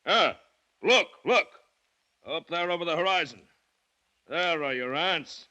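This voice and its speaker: An actor playing a smug, fearless plantation owner with a clipped, commanding manner in a 1940s radio drama. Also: male voice, on the high side, lively intonation, a little slow, pushed and bright. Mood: excited, assured. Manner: clipped, self-assured, commanding. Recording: noisy vintage radio broadcast.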